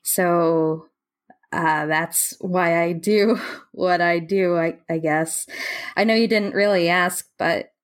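An actress playing an earnest, wistful woman mapmaker in an audio play, speaking reflectively and deliberately. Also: on the low side, fairly even intonation. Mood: earnest, hesitant. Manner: reflective, deliberate, with repeated words.

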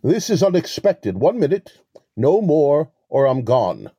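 A sly male swindler in a mystery audio play, acted by a man. His voice is very dark and husky, and it swings freely in pitch. He speaks with measured, formal condescension, as if always holding something back. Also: very animated, no echo, full character voice. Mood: cold, threatening. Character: wily, devout-acting male relic con artist.

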